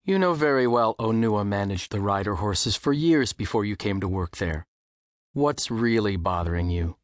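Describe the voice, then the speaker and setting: A man acting a gentle, teasing wizard and teacher in an audiobook, with a light voice and articulate, slightly formal speech. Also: fairly low pitch, lively intonation, normal speed, no echo, studio quality, lightly acted. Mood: concerned, probing. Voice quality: light male voice.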